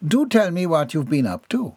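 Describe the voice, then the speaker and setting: A reader voicing a genteel lady hostess who speaks in a polite, proper, measured way. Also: medium pitch, very animated, a little mellow, husky, no room sound, clean studio sound, pitched above the performer's own voice.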